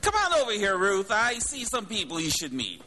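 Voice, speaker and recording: Over clear background noise, a scatterbrained, folksy middle-aged American uncle for radio. His voice is high and leaps about in pitch with excitable energy. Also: moderate pace, full character voice. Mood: excited, jovial. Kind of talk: live radio drama acting. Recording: noisy live broadcast with clicks.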